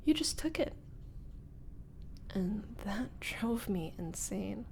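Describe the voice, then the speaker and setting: A teasing, nervous young ex-bully played by a woman in an intimate audio roleplay. Her voice sits fairly high and she speaks very slowly and haltingly, slipping between breathy whispers and pointed talk. Some noise sits behind the voice. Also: a little mellow. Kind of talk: intimate ASMR-style roleplay monologue.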